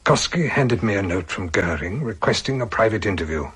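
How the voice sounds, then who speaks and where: An ailing retired British army colonel in a radio drama. His voice is low and badly husky, breathy at the edges. He speaks formally and haltingly, weighed down with remorse. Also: animated, a bit bright, heavily acted. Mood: sombre. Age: older.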